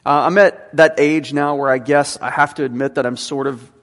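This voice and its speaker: A man's voice: medium pitch, steady tone, moderate pace, a bit bright. A man in his thirties, a teaching pastor giving a conversational sermon with frequent fillers, in a church sanctuary. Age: 30s. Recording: long hall reverb.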